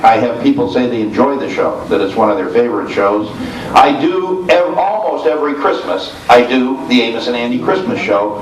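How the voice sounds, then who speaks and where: A middle-aged or older American man talking informally on a panel as a radio show host, conversational and full of small asides. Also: mid-range voice. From small rural area in the United States. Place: convention room before an audience. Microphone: informal video recording, mic at a distance. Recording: roomy, distant mic with faint noise.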